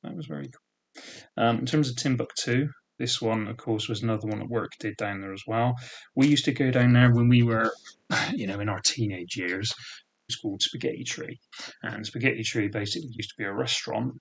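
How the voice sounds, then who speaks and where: A man's voice: mid-range voice, a little fast, vocal fry, breathy edge. A man in his thirties with a thick regional British accent, casually reminiscing in a rambling way. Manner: rambling, full of "sort of" and "um" fillers. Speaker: vlogger.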